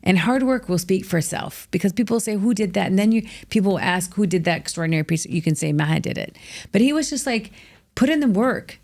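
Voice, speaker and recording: A middle-aged American woman telling her life story as a guest, energetic and emphatic, peppering her talk with "like". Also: mid-range voice, a little fast. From United States. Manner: energetic, emphatic, filler-heavy with frequent "like". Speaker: guest, author. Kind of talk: candid storytelling interview.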